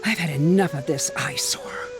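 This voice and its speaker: A woman's voice: deep voice, wildly swooping pitch, moderate pace. A woman playing a sarcastic, resentful young female researcher in a video game. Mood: dismissive. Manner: sarcastic, mocking. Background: game music.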